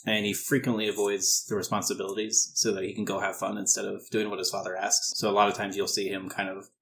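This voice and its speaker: A man's voice: fairly low pitch, little pitch movement, fast, gravelly, a bit bright, a little hiss or hum, poor audio. A man working as game master, describing a tabletop role-playing scene in an informal, explanatory way.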